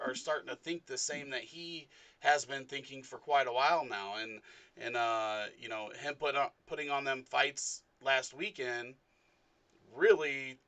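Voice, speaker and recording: Male sports podcast host, bright forceful tone, dry close sound, loose rambling delivery. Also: medium pitch, no room sound. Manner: rambling, many fillers. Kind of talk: casual interview chat.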